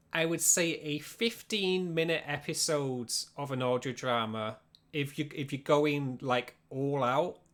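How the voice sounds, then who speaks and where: A man's voice: medium pitch, a bit bright. A man with a Yorkshire accent giving an informal, chatty livestream workshop, explaining things as he goes and repeating words for emphasis. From Yorkshire, England. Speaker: streamer teaching audio drama production.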